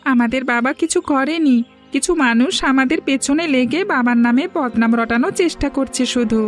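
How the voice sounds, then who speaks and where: A woman's voice: high voice, a little fast, soft background music, lightly acted. A woman voicing a protective young village boy in a cartoon, an earnest, consoling elder brother. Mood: reassuring. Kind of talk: cartoon voice acting.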